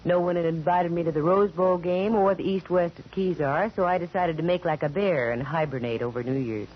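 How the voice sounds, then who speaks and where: A woman's voice: on the low side, a little fast, natural voice. Woman acting a wry girl detective, vintage radio. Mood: wry narrating. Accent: American. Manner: dry wisecracking, wry. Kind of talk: 1940s radio acting, first-person narration. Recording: muffled vintage 1940s radio broadcast.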